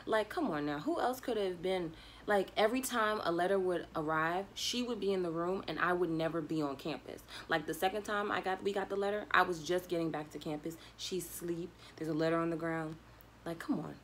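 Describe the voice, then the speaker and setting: Low-pitched young woman storytelling over noise. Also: brisk. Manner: animated, slangy, acts out quoted dialogue. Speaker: vlogger telling a personal story. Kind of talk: casual conversational storytelling.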